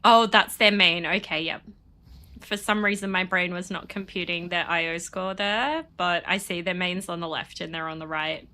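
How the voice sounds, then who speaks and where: A woman's voice: lowish voice, fairly even intonation, normal speed, sharp tone. A woman streaming a game and chatting casually, sniffly from a cold, rambling and laughing often. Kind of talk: casual chat while playing.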